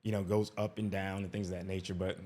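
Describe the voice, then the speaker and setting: A man's voice: sits low, flat delivery, fast, background noise. A man giving casual reaction commentary as an expat vlogger, talkative, often tagging "right?" and restarting his sentences.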